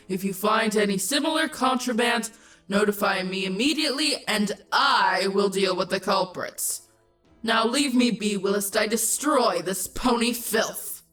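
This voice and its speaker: A woman voicing an imperious, scornful changeling queen in a cartoon-style audio story, haughty and commanding in her drawn-out delivery. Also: medium pitch, a bit bright, soft background music, lightly acted, rough recording. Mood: cold, commanding. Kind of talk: dramatic cartoon-style character acting.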